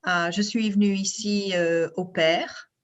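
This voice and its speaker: A woman's voice: mid-range voice, fairly even intonation, slow, lo-fi sound. Hesitant middle-aged woman guest. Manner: hesitant, laughs lightly, many fillers. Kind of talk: casual chat.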